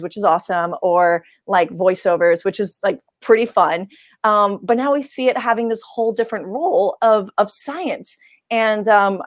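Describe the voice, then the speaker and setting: A young woman speaking as a panelist in a casual online talk, enthusiastic and chatty with many filler words. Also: mid-range voice. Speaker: astrophysicist and science communicator panelist.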